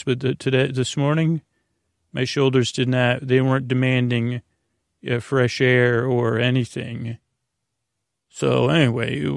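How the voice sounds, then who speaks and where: A man talks as the host of a bedtime podcast in a notably creaky, mid-pitched voice. He rambles in a soothing, gently whimsical way, often stammering and drifting into tangents. The sound is dry, with no room echo. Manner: halting, stammering, trailing into tangents. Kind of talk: rambling, meandering sleep-podcast monologue.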